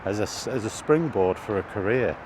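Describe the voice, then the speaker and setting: A man's voice: lowish voice, animated, brisk. A middle-aged British man rambling casually as he thinks aloud, often hesitating over his words. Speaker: vlogger. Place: outdoors while walking in an urban area. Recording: noisy outdoor recording with traffic. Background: clear street and vehicle noise.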